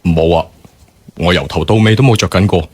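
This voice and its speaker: A man's voice: deep voice, brisk, darkish tone, breathy edge, no echo. An actor playing an impatient, flippant, arrogant businessman in a radio drama, dismissive and offhand.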